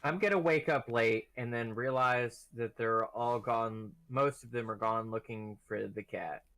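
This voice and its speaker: A man's voice: mid-range voice, measured pace, a bit bright, poor audio. A man chatting casually as a player in a tabletop game. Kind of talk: casual chat.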